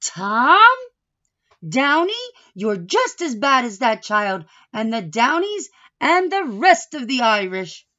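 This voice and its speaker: Woman acting a loud scolding grandmother. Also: a little high, sing-song, muffled recording, pitched above the performer's own voice, exaggerated voice. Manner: stern, emphatic, drawn-out words. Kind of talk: children's storybook read-aloud.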